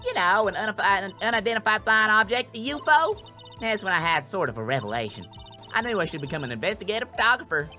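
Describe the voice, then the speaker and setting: A man's voice: high voice, sing-song, fast, bright, forceful tone, faint music behind, pitched above the performer's own voice, full character voice. A man voicing a brash, folksy Southern woman photographer in a video game, with a slangy, boastful drawl. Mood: chatty.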